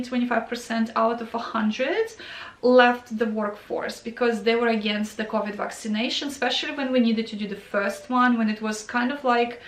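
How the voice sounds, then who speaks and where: Brief: young woman, Ukrainian accent, English second language, casual nurse vlog, slightly high voice, echoey room. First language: not English. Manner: conversational, many fillers and self-corrections. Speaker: nurse answering viewer questions.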